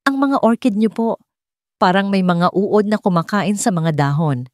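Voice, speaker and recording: A woman's voice: medium pitch, moderate pace, darkish tone, no room sound, clean studio sound, lightly acted. A woman voicing an older male servant in an audio story, respectful, polite and worried for the people he serves. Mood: urgent. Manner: polite and deferential.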